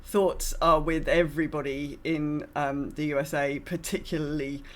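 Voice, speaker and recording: Chatty, halting woman podcast host. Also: low voice, little pitch movement. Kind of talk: casual podcast chat.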